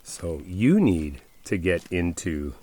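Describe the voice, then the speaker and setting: A man acting out a caring best friend in an ASMR audio roleplay, with a low-pitched male voice. He speaks casually and directly to the listener, coaxing and reassuring, gently insistent. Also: big pitch swings, slow pace, exaggerated voice. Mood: gentle, coaxing.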